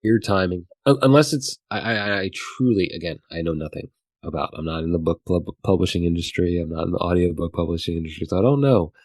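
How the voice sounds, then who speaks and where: A man co-hosting a podcast, talking casually in a halting, hesitant way and qualifying himself. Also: deep voice, a little mellow, no room sound. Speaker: podcast co-host.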